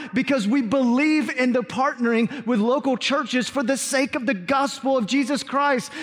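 A man preaching to his congregation as pastor, energetic, with call-and-response asides, then interviewing a guest on stage. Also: very high voice. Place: large church sanctuary. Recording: reverberant church hall.

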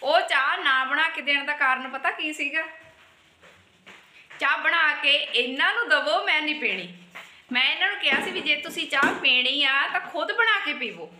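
A chatty Punjabi woman in her thirties talks into a phone held at arm's length, teasing her family and laughing as she goes. Her voice sits high and sounds very bright, and the tiled home kitchen around her gives it a clear echo. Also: moderate pace, slight background noise. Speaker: family vlogger. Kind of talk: casual vlog chat. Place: home kitchen and hallway, indoors.

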